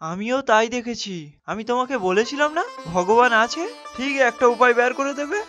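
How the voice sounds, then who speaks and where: A woman's voice: a little high, a little fast, a bit bright, lo-fi sound, soft background music, close to an everyday voice. A woman voicing a humble, pious, poor village man in a fairy-tale cartoon, earnest and reassuring. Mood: hopeful.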